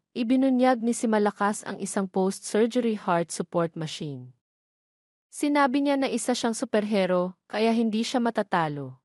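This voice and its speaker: A woman's voice: mid-range voice, darkish tone, no echo, clean studio sound. A woman reading a scripted story as its narrator.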